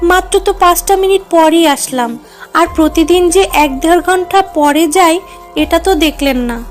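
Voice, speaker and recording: Woman voicing a high-pitched, plaintive cartoon working mother. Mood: indignant. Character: hardworking, worried animal housemaid mother. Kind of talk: children's cartoon voice acting. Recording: music under the voice.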